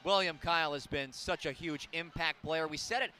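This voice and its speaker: A man's voice: on the high side, moderate pace, bright, forceful tone. An American man doing live radio play-by-play of basketball, with clipped, descriptive calls. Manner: clipped, descriptive calls that rise on big plays. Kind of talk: live sports commentary. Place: indoor basketball arena. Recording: clear arena noise, bouncing basketballs. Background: game noise and basketball bounces.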